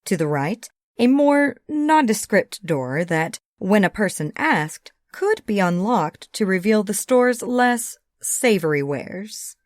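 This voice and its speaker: A woman's voice: mid-range voice, expressive, takes it slowly, hardly any pauses, no room sound, studio quality. A woman reading a comic novel as a scripted audiobook narration.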